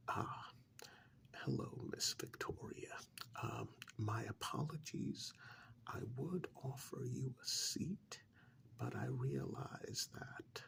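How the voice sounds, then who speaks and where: A man playing a polite, fussy official in a soft-spoken ASMR role-play, whispering in a formal, hesitant, courteous way. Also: medium pitch, exaggerated voice. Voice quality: soft, breathy whisper with gravelly edge. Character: polite, fussy, methodical male tax official auditing non-human clients.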